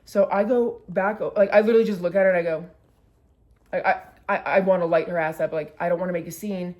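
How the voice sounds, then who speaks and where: A woman's voice: lowish voice, fairly even intonation, fast talker, dull audio. A young woman vlogger telling a personal story in a candid, emotional way, full of filler words. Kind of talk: casual storytelling vlog.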